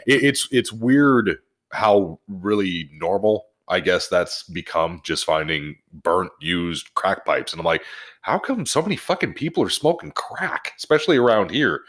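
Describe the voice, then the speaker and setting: Low-voiced man with swinging, sweary delivery. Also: expressive, measured pace, a bit bright. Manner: sweary, joking, slangy. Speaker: podcast co-host. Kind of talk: casual crude banter and anecdotes.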